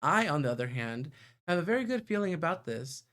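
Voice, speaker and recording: A man's voice: on the high side, sing-song, fairly quick, fairly bright tone. A man livestreaming a visual novel, reading the narration aloud in a playful way and sometimes stumbling or breaking into chatty asides.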